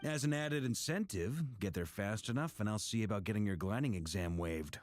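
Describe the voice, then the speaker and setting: A man playing a friendly, brisk official organizing his team in a video game, crisp and encouraging. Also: on the low side, lively intonation, close to an everyday voice.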